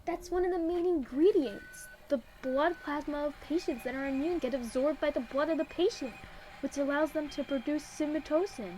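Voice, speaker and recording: A woman's voice: high-pitched, moderate pace, mellow, a little hiss or hum, natural voice, low-quality recording. A woman playing an earnest, bewildered female pony researcher in an audio drama, anxious and questioning. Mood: bewildered, alarmed.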